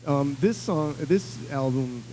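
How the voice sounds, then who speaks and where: A man from upstate New York, a producer and musician, answering thoughtfully with many pauses and fillers. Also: a little high, mellow, slight background noise, rough recording. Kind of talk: casual interview.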